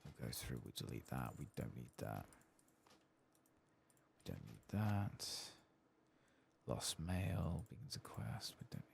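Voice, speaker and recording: Really low gravelly guy doing soft spoken asmr gaming, mumbling to himself, voice moves around a lot, bit of background noise. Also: very animated, slow, pauses often. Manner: murmuring, musing to himself. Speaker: host of a gaming ASMR channel. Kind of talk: soft-spoken, whispered ASMR gameplay commentary.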